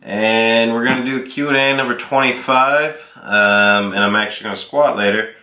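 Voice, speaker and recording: A man's voice: medium pitch, normal speed. Sick, nasal man in an echoey room. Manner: relaxed, rambling with frequent ums and coughs. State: sick, congested and sore-throated. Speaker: powerlifter vlogger answering viewer questions. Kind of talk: casual Q and A vlog.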